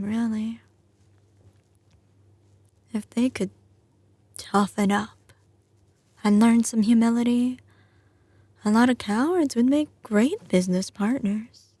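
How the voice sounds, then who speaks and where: Woman playing a slow-drawling Southern mafia boss girlfriend. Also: fairly high pitch, mellow, slightly rough, dry recording. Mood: contemptuous, confiding. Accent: slight Southern US drawl. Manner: drawling, teasing, sliding between commanding and tender. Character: doting but ruthless young female mafia boss girlfriend. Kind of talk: ASMR audio roleplay, intimate scripted acting.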